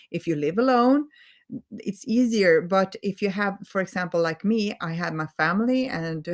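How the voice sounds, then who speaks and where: A woman with a Swedish accent, a native Swedish speaker, giving a gentle, earnest live-stream talk as a holistic healing coach, repeating words for emphasis. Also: fairly low pitch. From Sweden.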